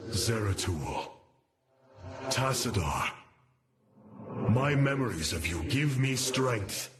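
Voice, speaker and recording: A man playing a noble alien warrior leader in a video game, solemn and resolute, with measured, stately, formal delivery. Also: mid-range voice, lively intonation, noisy background. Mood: reverent. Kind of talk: dramatic video game voice acting. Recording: loud music behind the voice.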